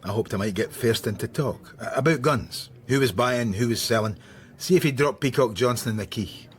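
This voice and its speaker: An actor playing a weary, sardonic middle-aged police inspector in a radio drama, with a gruff manner of speaking. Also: male voice, mid-range voice, moderate pace, lightly acted. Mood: brooding.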